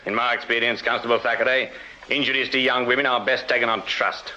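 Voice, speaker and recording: An actor playing a shrewd Victorian detective sergeant with an English accent, dry, clipped and authoritative in a radio drama. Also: male voice, medium pitch, steady tone, fast, pushed and bright. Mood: sardonic. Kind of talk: British period radio drama acting. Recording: slightly dull, hissy radio-drama recording.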